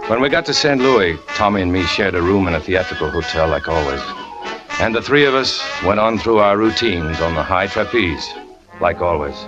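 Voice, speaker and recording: An actor playing a brooding, jealous young male trapeze artist who narrates in a low, tense, confessional way in a 1940s radio drama. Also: natural voice. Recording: muffled old radio broadcast with music.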